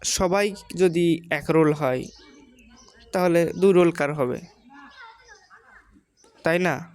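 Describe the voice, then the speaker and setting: A woman's voice: fairly low pitch, slow, darkish tone. A woman with a Bangladeshi accent giving informal moral advice in a didactic, questioning way, repeating her points.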